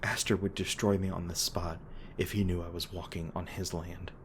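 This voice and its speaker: A man playing a gruff, guilt-ridden monster hunter with a tender side, speaking haltingly and emotionally in an intimate audio roleplay. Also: low voice, little pitch movement. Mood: resolute, then ashamed. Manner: halting, stammering, emotional, swearing. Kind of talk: intimate dramatic audio roleplay addressed to listener. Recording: noticeable background noise and ambience.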